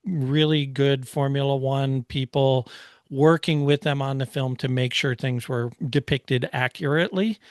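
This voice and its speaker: A man's voice: medium pitch, steady tone, a little slow, dry recording, studio quality. A man co-hosting a podcast, chatting casually and explaining things like a knowledgeable enthusiast. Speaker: podcast co-host. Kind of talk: casual movie review discussion.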